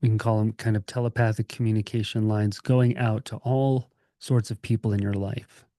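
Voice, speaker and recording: A man with a dark, mellow voice guides a meditation in a gentle, unscripted manner. He sits on the low side in pitch and pauses between phrases, keeping an informal, calming tone. The sound is dry, with hardly any room on it. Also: no echo. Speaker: meditation teacher.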